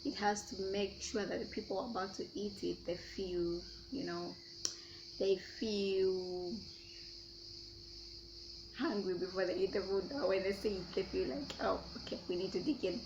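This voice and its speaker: Slow-talking Zambian woman vlogger. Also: mid-range voice, dull audio, noisy background. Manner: chatty, answers her own questions aloud. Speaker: YouTuber. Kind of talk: casual question-and-answer vlog.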